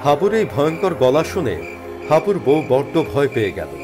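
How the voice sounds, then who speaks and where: Lively male horror story narrator. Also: on the high side, normal speed, over music.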